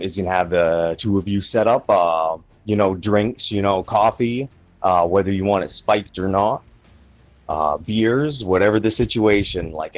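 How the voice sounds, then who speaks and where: Man, game master, deep voice, relaxed, fillers, dry podcast mic. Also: no echo. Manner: relaxed, thinking aloud with fillers. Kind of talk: narrating a tabletop game.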